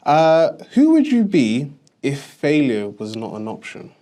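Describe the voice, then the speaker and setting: A man's voice: fairly high pitch, wildly swooping pitch, a little slow, dark tone. A man hosting a student podcast, welcoming listeners and asking questions in an upbeat way with frequent "uh" fillers. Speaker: podcast host.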